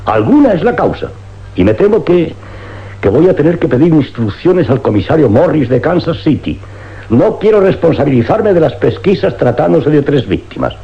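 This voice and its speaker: An actor playing a brisk, determined big-city police inspector in a radio play, with emphatic, theatrical delivery. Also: male voice, a little high, sing-song. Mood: grave, puzzled. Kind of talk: dramatic radio play acting. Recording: dull lo-fi old radio recording.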